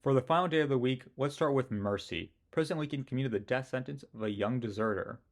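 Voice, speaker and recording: A man's voice: mid-range voice, fast talker. A man narrating a scripted weekly history series, giving his own opinions and joking about his pronunciation. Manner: opinionated, with self-mocking asides.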